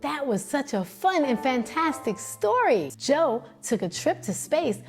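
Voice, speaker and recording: A woman librarian reading a children's book aloud, gentle and expressive in her storytelling. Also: high voice, unhurried, over music.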